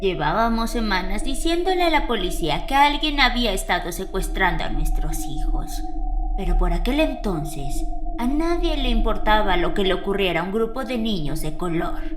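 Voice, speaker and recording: A woman playing an elderly grandmother who recounts a grim horror tale in a measured, dramatic way with weighty pauses. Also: medium pitch. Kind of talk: dramatic horror audio fiction narration. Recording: eerie music behind the voice.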